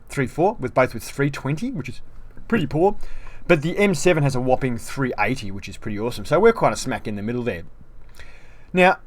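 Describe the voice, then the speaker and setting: A man giving a casual review of a game tank, running through stats in a chatty, self-deprecating way. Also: medium pitch.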